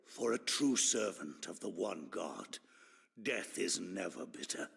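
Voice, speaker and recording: A man voicing a gloating ancient villain in a video game, theatrical and savoring each phrase, with a gravelly voice. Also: deep voice, lively intonation, a little slow, a bit bright, long reverb tail, full character voice. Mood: solemn devotion. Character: gloating ancient villain, a sinister servant of dark masters. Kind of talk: dramatic video game voice acting.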